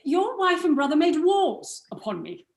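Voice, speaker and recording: A woman playing a proud, guarded male ruler in a radio play, speaking formal, measured verse. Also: very high-pitched, big pitch swings, roomy sound, full character voice. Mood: stern, accusing. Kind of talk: live radio play acting in Shakespearean verse.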